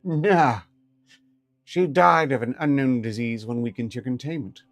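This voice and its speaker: A man voicing a calm female researcher who speaks slowly and evenly. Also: mid-range voice, dry recording, close to an everyday voice. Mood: measured. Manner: slow, measured, level.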